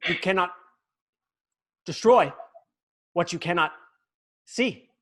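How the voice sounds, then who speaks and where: A man's voice: sits high, slow, a bit bright, exaggerated voice. A man playing a cocky, mock-solemn little brother posing as a kung fu hero in a sitcom table read. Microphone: video call.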